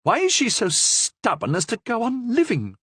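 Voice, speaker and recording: A man's voice: very high voice, huge pitch swings, moderate pace, fairly bright tone, husky, dry recording, clean studio sound, voice pushed up, full character voice. A man voicing a stern, cold convent Mother Superior who speaks curtly and murmurs. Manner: curt, murmuring.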